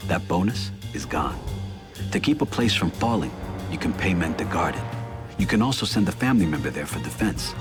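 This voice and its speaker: An actor playing a cold mafia don in a video game, speaking in a measured, deliberate, authoritative way. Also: male voice, deep voice. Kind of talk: video game cutscene and tutorial narration.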